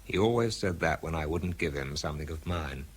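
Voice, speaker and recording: A man's voice: extremely low pitch, expressive, brisk, breathy edge, heavily acted. An actor playing a weary, sardonic English wartime man in a British radio drama, dry and understated as he narrates and talks. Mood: wry, sardonic.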